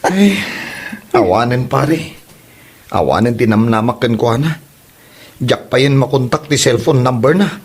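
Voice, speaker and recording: A man's voice: mid-range voice, animated, measured pace, long pauses, husky. A man playing a hapless young suitor in an Ilocano comedy drama, stammering, nervous and whiny, with an Ilocano accent. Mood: whiny, dejected. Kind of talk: comedy audio drama acting.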